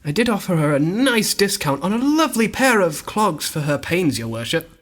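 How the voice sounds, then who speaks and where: An actor playing a cheeky, shameless, protesting shoemaker of olden times in a comic audio drama. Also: male voice, high voice, big pitch swings, moderate pace, fairly bright tone, full character voice.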